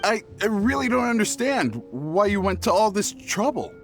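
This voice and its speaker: A nervous traveling merchant for an anime-style game. His voice sits high and jumps around in pitch. He sounds hesitant and easily flustered, a bit shifty, over faint game music. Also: big pitch swings, moderate pace. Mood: bewildered. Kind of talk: scripted anime-style video game voice acting.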